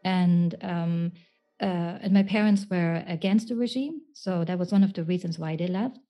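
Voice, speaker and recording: Female guest, lowish mellow voice, halting conversational delivery, soft music behind. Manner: hesitant, searching for words. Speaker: guest, writer.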